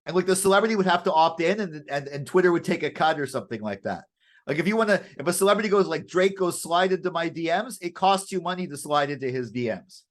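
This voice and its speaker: This is a man with a voice a bit higher than most. He talks through the news casually as a co-host, in a halting way, doubling back and restarting his phrases. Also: animated. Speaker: co-host of a weekend current affairs show. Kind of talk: casual unscripted news chat.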